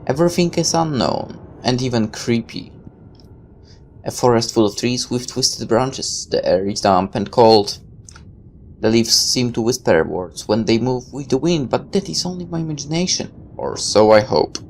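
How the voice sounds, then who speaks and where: Creaky-voiced storyteller reading a game aloud. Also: medium pitch, normal speed, slight background noise. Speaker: gaming video host reading a visual novel aloud. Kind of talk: story read-aloud with casual asides.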